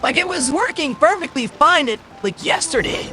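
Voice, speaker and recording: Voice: sits high, animated, normal speed, bright, forceful tone. A game streamer talking casually on a livestream in a blunt, exasperated, grumbling way, through a voice changer. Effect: possible voice changer. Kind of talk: casual livestream gaming commentary. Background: game music.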